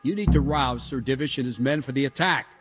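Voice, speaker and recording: Game noble: mid-pitched male, brisk, kindly authority, music underneath man acting. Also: husky, natural voice. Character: brisk, kindly lord mustering a young man.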